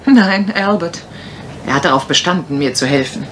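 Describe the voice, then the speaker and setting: A woman's voice: lowish voice, expressive, normal speed, close to an everyday voice. A woman playing a playful, confident young lady detective in a German radio crime drama. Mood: chiding, fond. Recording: noisy old radio play recording.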